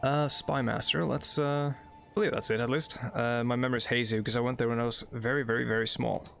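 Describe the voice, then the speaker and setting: Chatty Norwegian guy, gravelly voice. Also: medium pitch, steady tone, brisk, pushed and bright, soft background music. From Norway. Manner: rambling, filler-heavy, chatty asides while reading game text. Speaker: let's play host. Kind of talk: casual gameplay commentary.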